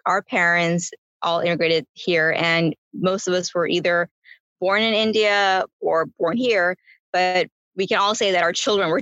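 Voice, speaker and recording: A woman with a fairly low voice, whose first language is Gujarati, speaks reflectively and conversationally. The sound is close and dry, slightly dull. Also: a bit bright, no room sound. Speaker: toy company founder. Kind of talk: interview answer.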